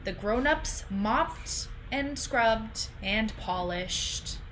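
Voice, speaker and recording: Woman in her thirties, very lively intonation, unhurried pace, children's read-aloud, noticeable background noise. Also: fairly high pitch, poor audio. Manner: questioning, explaining simply to children. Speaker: teacher reading a picture book aloud. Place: indoors in a home room. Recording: clear background noise, home video call.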